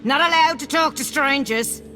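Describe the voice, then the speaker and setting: An old peasant granny from a fantasy game. Her voice sits a little high, and she scolds and mutters in rustic speech, guarding against strangers. Soft music plays underneath. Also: moderate pace. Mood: scolding, wary. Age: older. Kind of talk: video game character acting.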